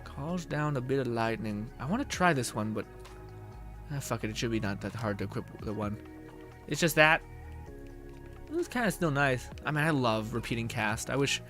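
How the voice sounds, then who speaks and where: A young man chatting casually on a game live stream, rambling and animated, laughing often and slipping into mock voices. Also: medium pitch. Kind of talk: casual live-stream chat while playing. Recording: game music faint underneath.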